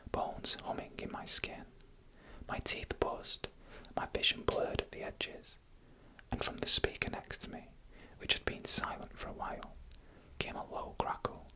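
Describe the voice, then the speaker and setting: Bright, breathy whispered ASMR storyteller. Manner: whispers throughout, soft and unhurried. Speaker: narrator of scary UFO encounter stories. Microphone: close microphone for headphone listening.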